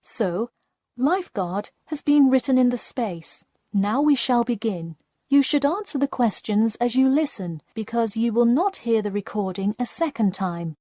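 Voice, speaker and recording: A woman with a slightly high voice reads scripted exam instructions. Her delivery is formal and measured, like an announcer's, and the recording is dry with no room sound. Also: animated, mellow, no room sound. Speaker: listening test narrator.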